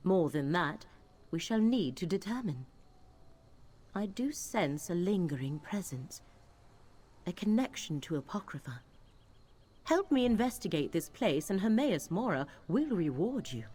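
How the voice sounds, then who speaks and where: A woman acting a solemn, mystical companion in a fantasy video game, speaking in a formal, measured, cryptic way. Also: on the low side, sing-song, dark tone, breathy edge, exaggerated voice. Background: game music.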